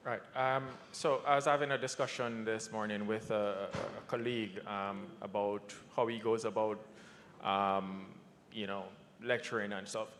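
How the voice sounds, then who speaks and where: A man's voice: fairly even intonation, moderate pace, a bit bright. A man answering a question on a conference panel, hesitant with frequent fillers and restarts. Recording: reverberant conference hall with low rumble.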